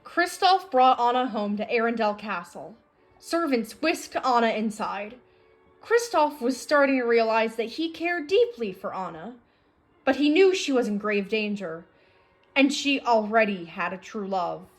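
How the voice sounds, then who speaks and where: Higher-voiced woman reading a storybook over music. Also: expressive, measured pace. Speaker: storybook reader. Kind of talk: read-aloud of a children's storybook. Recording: faint music behind the voice.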